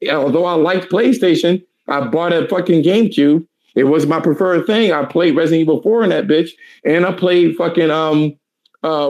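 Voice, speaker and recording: A man in his thirties with a raspy, tired voice, ranting profanely in a heated casual chat. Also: on the high side, darkish tone, poor audio. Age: 30s. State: tired, hoarse voice.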